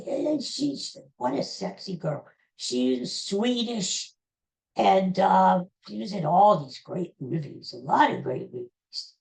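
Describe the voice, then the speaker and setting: A woman's voice: lowish voice, vocal fry, a little mellow. A woman in her sixties hosting a solo podcast, rambling in a chatty way and talking straight to her listeners. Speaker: podcast host. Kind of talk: casual solo podcast monologue.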